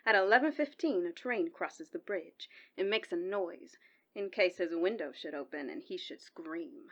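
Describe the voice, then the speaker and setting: A woman's voice: fairly low pitch, animated, normal speed. A woman playing a cold-blooded male hired killer in a radio drama, curt and matter-of-fact. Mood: businesslike. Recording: dull, band-limited phone-call sound.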